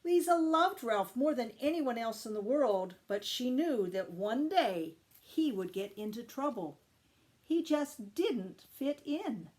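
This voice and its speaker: Lady doing kids storytime read-aloud, voice on the higher side, really stresses words. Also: expressive, takes it slowly, darkish tone. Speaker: storytime reader, teacher.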